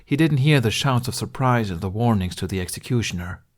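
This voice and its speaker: Guy narrating an audiobook, dramatic story reading, voice a bit on the low side. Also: expressive, brisk.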